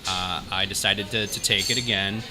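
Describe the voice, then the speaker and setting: A man's voice: low-pitched, steady tone, moderate pace, over loud music. A man speaking as a podcast guest in an easygoing, agreeable, casual conversation. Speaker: podcast guest, teacher and YouTuber.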